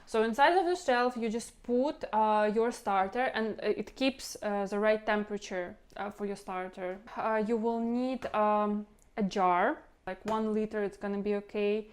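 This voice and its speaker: Ukrainian accent lady doing a casual cooking vlog, clean smooth voice, kinda rambly, normal pitch. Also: steady tone, normal speed, a little mellow. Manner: rambling, repeats words, non-native phrasing. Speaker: home cooking channel host. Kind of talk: casual instructional vlog talk.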